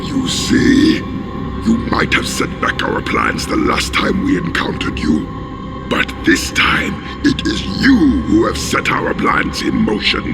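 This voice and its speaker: A man playing a pompous, cruel alien villain commander in a video game cutscene, gloating with deliberate menace. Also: deep voice, huge pitch swings, vocal fry, exaggerated voice. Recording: music behind the voice. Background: loud music.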